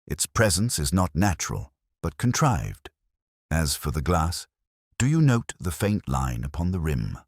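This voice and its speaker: A man reading the part of a gentleman detective from a Victorian audiobook tale. His voice is very deep and dry, with expressive rises and falls. He lectures with cool, formal precision. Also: measured pace, slightly rough, no room sound, heavily acted. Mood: incisive. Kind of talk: audiobook character dialogue.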